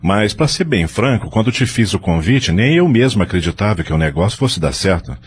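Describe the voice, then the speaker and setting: A man's voice: lowish voice, sing-song, brisk, a little hiss or hum. A man acting a frank, devout brother-in-law with a Brazilian accent, earnest and preachy, in an audio soap opera. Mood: frank, reassuring.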